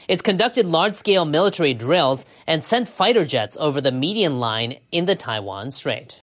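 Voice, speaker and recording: Female news anchor with a low register, formal and measured, reading scripted international reports. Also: animated.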